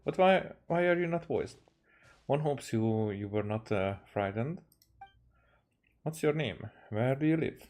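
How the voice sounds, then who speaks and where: A man, a game streamer reading dialogue aloud haltingly and correcting himself as he goes. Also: medium pitch, expressive, a little mellow. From Hungary.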